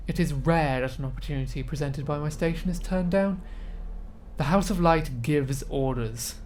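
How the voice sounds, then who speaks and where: A senior oracle of cold authority, voiced by a woman in a fantasy audio drama. The delivery is deep, formal and measured, with a quiet threat beneath the courtesy. Faint ambient noise sits behind the voice. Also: lightly acted. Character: commanding senior oracle, smooth, coldly authoritative superior.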